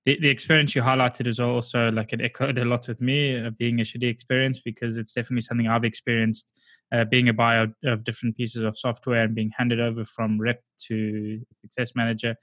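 A man hosting a podcast, asking questions in a relaxed way with some fillers. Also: medium pitch, a little fast, no echo. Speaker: podcast host. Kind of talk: casual interview chat.

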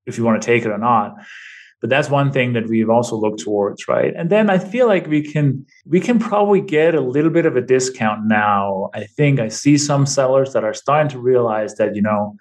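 A middle-aged man from Denmark, talking casually as a podcast guest. He often restarts sentences and tags his points with "right?". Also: medium pitch. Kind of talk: casual interview talk.

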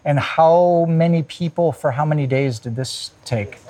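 A man's voice: on the high side, unhurried, mellow. A man hosting a podcast, reading a scripted intro and then interviewing a guest outdoors with short, encouraging questions. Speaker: podcast host. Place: outdoors on a farm. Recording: music bed under the intro.

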